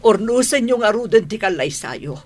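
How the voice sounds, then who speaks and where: A woman's voice: mid-range voice, sing-song, moderate pace, darkish tone, husky. A woman playing a mother who gives in to her son's marriage in an Ilocano radio drama. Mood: emotional, resigned.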